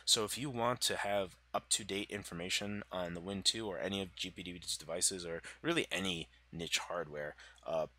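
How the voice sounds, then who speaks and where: A deep-voiced man with a bright, forward edge to his tone, talking through hardware specs. He sounds congested from a cold and talks loosely, full of "uh"s and restarts. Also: steady tone, moderate pace, hardly any pauses. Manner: loose, filler-heavy, self-correcting. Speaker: tech channel host. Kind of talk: casual tech explainer over slides.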